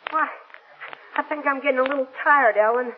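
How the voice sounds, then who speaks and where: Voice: high-pitched, a little fast, slight background noise, lightly acted. A performer playing an eager, trusting young boy swimmer in 1940s radio drama style, on a tinny old broadcast. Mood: tired, uneasy.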